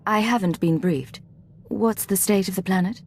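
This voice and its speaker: A woman's voice: medium pitch, normal speed, a little mellow, a little hiss or hum, lightly acted. A woman playing a composed, determined imperial secret agent in a video game, speaking in a controlled, formal, firm way.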